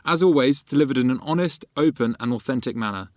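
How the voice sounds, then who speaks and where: A male podcast co-host reading scripted announcements in an even, measured manner. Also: mid-range voice, no room sound, clean studio sound. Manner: reads announcements evenly. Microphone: studio mic.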